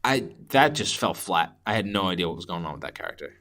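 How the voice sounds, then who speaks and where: A man's voice: low voice, lively intonation, fast talker, sharp tone. A man co-hosting a podcast, chatting casually about movies and often hedging or backtracking on his opinions. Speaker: podcast co-host. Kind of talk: casual movie discussion chat.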